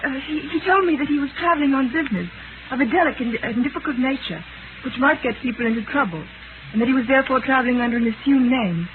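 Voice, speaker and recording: An actress playing a gentle, anxious young lady witness in a 1930s radio drama, earnest and hesitant. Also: high-pitched, fast, lightly acted. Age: young adult. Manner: earnest, hesitant, polite delivery. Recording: noisy, tinny 1930s radio broadcast.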